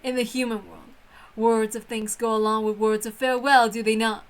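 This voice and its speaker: A woman's voice: on the high side, fairly even intonation, moderate pace, lightly acted. A woman voicing a grand, possessive witch in formal, theatrical speech, lonely and insistent, as in a visual novel reading. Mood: grandly amused.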